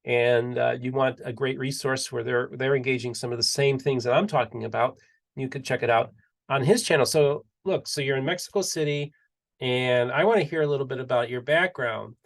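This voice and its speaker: A man's voice: mid-range voice, brisk, gravelly. A male podcast host chatting casually and enthusiastically, often repeating and restarting his words. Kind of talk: casual interview chat.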